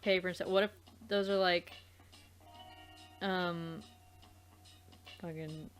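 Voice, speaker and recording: A woman co-hosting a let's play, reacting casually and haltingly as she searches for words. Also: fairly low pitch, steady tone, soft background music. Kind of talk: casual chat, reacting to a game.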